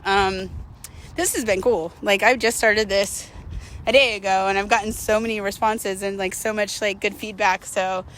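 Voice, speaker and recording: A woman's voice: medium pitch, fairly even intonation, moderate pace, a bit bright, a little hiss or hum, poor audio. A woman talking casually and candidly to viewers as a vlogger while walking, slightly out of breath, with self-mocking asides and rhetorical questions. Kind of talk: casual confessional chat to viewers.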